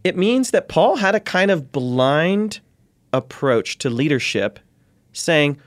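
A man speaking as a guest scholar in an interview, explaining in an animated way and acting out questions and quotes. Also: a little high, takes it slowly, no echo.

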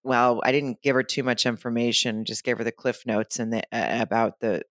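A woman's voice: very deep voice, steady tone, fairly quick, no echo, clean studio sound. A woman co-hosting a podcast, chatting casually and rambling through stories with laughs between points. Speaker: podcast co-host. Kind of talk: casual recap chat.

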